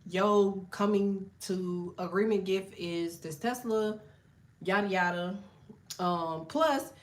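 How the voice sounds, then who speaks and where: A middle-aged woman, a tarot reader, telling a long story to her viewers in loose, profane slang, often breaking in with "okay?". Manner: loose, profane, chatty, lots of "okay?" and "so boom".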